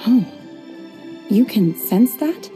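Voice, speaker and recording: A woman voicing a calm, polite, aloof young woman warrior who speaks in a measured way in a game cutscene. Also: on the high side, faint music behind.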